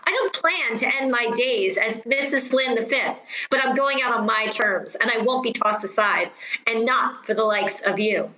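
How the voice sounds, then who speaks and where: An actress playing a haughty, seductive vaudeville-born stage star in a radio play, theatrical and condescending. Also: female voice, on the high side, normal speed, sharp tone, echoey room, rough recording. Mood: hard, defiant. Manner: theatrical, drawling, condescending endearments.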